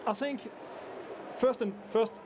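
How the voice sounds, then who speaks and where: Austrian-born engineer, high-pitched, halting casual talk over loud event noise. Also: male voice. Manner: hesitant, many restarts and fillers. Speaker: guest engineer. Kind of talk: casual conversational interview. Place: conference event.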